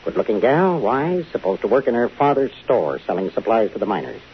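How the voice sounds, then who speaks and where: Suave, swooping, theatrical film director. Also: male voice, on the low side, sing-song, fairly quick. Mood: sly, coaxing. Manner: affected, theatrical, smooth-talking. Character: suave, flirtatious, self-important male film director. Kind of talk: 1950s radio drama acting. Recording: muffled 1950 radio broadcast, hissy.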